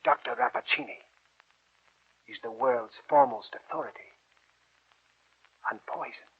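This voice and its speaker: Husky older professor in tinny old radio. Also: male voice, medium pitch, big pitch swings, a little slow, full character voice. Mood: grave warning. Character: learned, avuncular older male professor of medicine, ominously cautioning. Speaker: actor in a radio drama. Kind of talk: 1940s radio acting. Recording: tinny, muffled 1940s radio recording.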